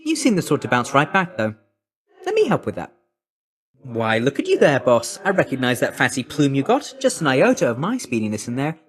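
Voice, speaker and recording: A breezy chummy young god of messages, game character, super animated up and down delivery, chatty salesman patter. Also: medium pitch, brisk. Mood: impressed, teasing. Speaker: video game character voice actor.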